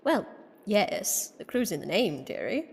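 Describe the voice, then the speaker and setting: An actress playing a gleeful, gloating alien time-meddler villain in an audio drama, with a theatrical, taunting, giggling delivery. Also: female voice, mid-range voice, sing-song, a little slow, gravelly, background noise. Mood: mock-hurt, teasing. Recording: long cavernous reverb.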